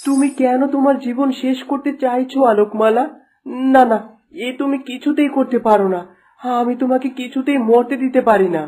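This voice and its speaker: A woman voicing the gentle, loving spirit of a dead young man in a melodramatic cartoon, tender and earnest. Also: high voice, normal speed. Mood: alarmed. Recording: plucked guitar music under the voice.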